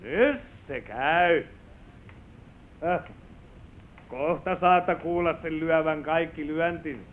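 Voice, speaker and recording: Man acting high-voiced folksy clock merchant. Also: close to an everyday voice. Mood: proud, coaxing. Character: folksy male clock merchant, proud and persuasive salesman. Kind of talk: vintage Finnish radio play acting. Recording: thin, phone-like old radio recording.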